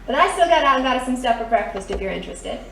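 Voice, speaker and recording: A woman's voice: high-pitched, lively intonation, very fast, gravelly, a bit bright, heavily acted. A woman playing a perky, doting girlfriend in an audio drama, chirpy, coaxing and playful. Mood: cheerful, coaxing. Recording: roomy, distant, faint noise.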